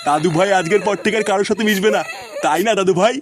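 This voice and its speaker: A man's voice: sits high, quick pace, crowd noise, lightly acted. A man voicing a doting, fretful old grandfather in a comic cartoon. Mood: coaxing. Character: doting, fretful old grandfather who curses when cross. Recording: clearly noisy background.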